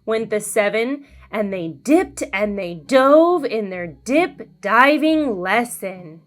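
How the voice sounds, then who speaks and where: A woman hosting a children's read-aloud, telling a storybook in an expressive, sing-song way. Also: fairly high pitch. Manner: animated, slow and deliberate sing-song delivery.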